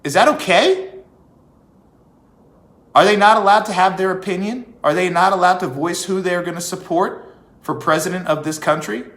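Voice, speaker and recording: A man giving an emphatic opinion monologue as a vlog host, with rhetorical questions and deliberate pauses. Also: high-pitched, fairly bright tone. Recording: echoey room.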